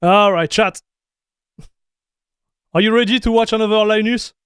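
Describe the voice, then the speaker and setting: A man's voice: high-pitched, fairly even intonation, normal speed, clean tone, no room sound, clean studio sound. Chatty male streamer. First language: French. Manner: chatty, laughs often, talks straight to chat. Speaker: streamer reacting to tech news. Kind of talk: casual live-stream chat.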